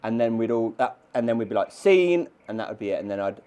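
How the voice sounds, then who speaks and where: A man making prank phone calls in a deadpan, slightly hesitant way. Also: mid-range voice, quick pace, smooth. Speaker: prank caller. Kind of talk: casual phone prank chat.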